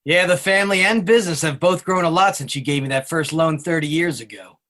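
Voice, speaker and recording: A man plays an older, plainspoken businessman with a bright, fairly high voice. He is friendly and folksy, delivering scripted lines from a stage musical. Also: fairly quick. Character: friendly older businessman, grandfather and longtime bank customer. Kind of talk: musical theatre table read.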